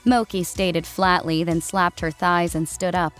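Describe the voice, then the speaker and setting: A woman's voice: fairly low pitch, little pitch movement, measured pace, fairly bright tone, faint music behind. A woman reading a scripted story as its narrator.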